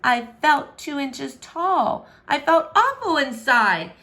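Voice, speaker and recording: A proud but wounded young boy prince from a rhyming storybook, acted by a woman. The voice is high and sharp-edged, theatrical and grumbling, with big swoops in pitch. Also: sing-song, takes it slowly, heavily acted. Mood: bitter, indignant. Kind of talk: children's verse storybook read-aloud.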